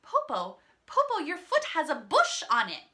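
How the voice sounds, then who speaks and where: A clever eldest sister from a folktale, played by a woman reading aloud, wary and questioning. Her voice is raised and bright, with lively swings in pitch. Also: moderate pace, heavily acted. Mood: puzzled.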